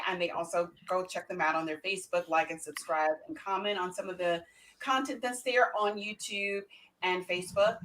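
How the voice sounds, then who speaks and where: A woman hosting an online talk show with excited introductions and banter, emphatically repeating words for effect. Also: medium pitch, slight background noise, low-quality recording.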